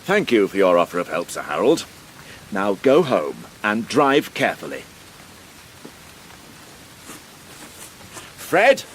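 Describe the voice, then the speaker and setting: A seasoned police inspector in a radio crime drama, dry and weary with a clipped, sardonic edge. His voice sits fairly low but moves about in a very animated way, over faint background noise. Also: normal speed. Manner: formal, clipped, wryly sardonic.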